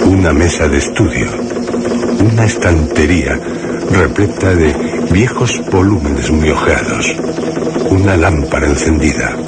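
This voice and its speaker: An actor playing a horrified man who narrates his own terror in a grave, theatrical radio drama style. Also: a bass voice. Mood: grave, uneasy. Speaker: first-person narrator.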